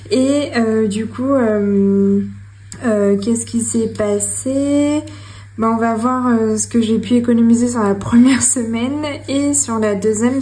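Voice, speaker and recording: A woman's voice: on the high side, dark tone. A young woman hosting her budgeting channel, chatting casually to camera with many fillers and small giggles as she goes over her notes at home. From France. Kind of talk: casual talk to camera while writing. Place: home room with her cat.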